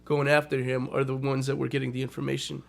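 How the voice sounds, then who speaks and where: Gravelly, slightly hoarse ranting man. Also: mid-range voice, little pitch movement, fast. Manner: ranting, profane, flares up loud when laughed at. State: a little hoarse. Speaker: podcast co-host. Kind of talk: casual radio chat.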